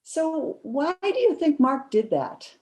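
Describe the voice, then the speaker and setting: A woman's voice: sits high, sing-song, slow, darkish tone, heavily acted. A woman acting a coy, sheepish friend who guards a secret, giving hesitant, evasive replies in a conversational comic one-act.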